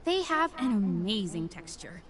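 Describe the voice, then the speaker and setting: A woman's voice: medium pitch, sing-song, measured pace. A woman voicing a polite young waitress in a game who talks up the dishes with a salesy air. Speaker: restaurant server. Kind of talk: game voice acting. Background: game music.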